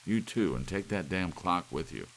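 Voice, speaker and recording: A gruff, possessive husband acted by a male audiobook reader, low and gravelly. He is irritable and barks in curt bursts over a faint hiss of rain. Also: moderate pace. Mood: furious, possessive. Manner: curt, barking. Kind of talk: audiobook character voice. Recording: steady rain hiss behind.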